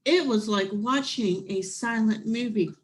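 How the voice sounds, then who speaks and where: A woman reading a children's picture book aloud expressively, with deliberate phrasing and drawn-out emphasis. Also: a little high. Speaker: picture book reader, planetarium director.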